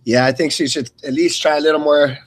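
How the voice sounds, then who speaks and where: High-pitched man calling a fight, stumbling. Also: steady tone. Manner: halting, repeats words and stumbles mid-phrase. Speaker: play-by-play commentator. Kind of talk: live blow-by-blow sports commentary.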